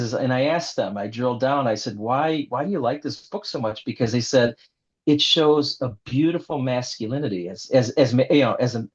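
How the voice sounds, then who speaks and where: A man being interviewed as an author, talking in a friendly, rambling way and leaning heavily on fillers. His voice sits at an ordinary pitch for a man. The sound is a bit dull and lo-fi. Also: darkish tone. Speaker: author guest.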